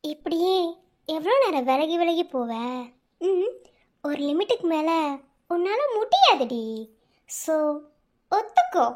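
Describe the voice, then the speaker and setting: High-pitched, sneering young villain played by a man. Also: big pitch swings, slow, pauses often, heavily acted. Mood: coercive. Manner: taunting, sneering, rhetorical questions. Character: spurned, vengeful young male student, menacing and taunting. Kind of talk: melodramatic audio drama villain acting.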